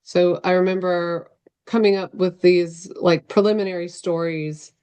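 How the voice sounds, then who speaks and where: A woman novelist talking casually in an interview on a video call, reflective and halting as she searches for words. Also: lowish voice, fairly even intonation, dark tone, clear, resonant tone.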